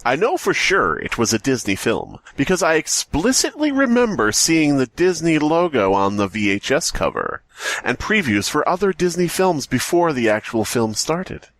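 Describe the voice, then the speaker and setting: A man's voice: fairly high pitch, big pitch swings, normal speed, fairly bright tone, dry recording. A man co-hosting a podcast, riffing sarcastically in casual banter. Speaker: podcast co-host.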